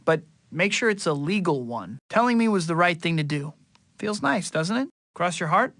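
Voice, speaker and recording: A young American male superhero for a video game. His voice sits high and forward with a crisp, bright edge, recorded very dry. He tosses out cocky, friendly wisecracks in a boyish, conversational way. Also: dry recording. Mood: wry, bantering. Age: young adult. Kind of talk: video game voice lines, short quips.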